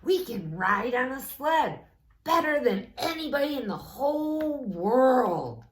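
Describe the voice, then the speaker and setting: A woman voicing a reluctant, grumpy, easily frightened male storybook toad with emphatic, drawn-out delivery. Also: high-pitched, big pitch swings, slight background noise, voice pushed up, full character voice. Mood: delighted, excited. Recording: roomy echo.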